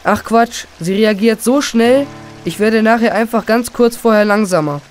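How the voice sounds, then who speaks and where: A performer playing a confident, boastful, excitable boy in a children's radio play. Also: mid-range voice, normal speed, faint music behind, lightly acted. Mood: cocky. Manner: cocky, dismissive, eager.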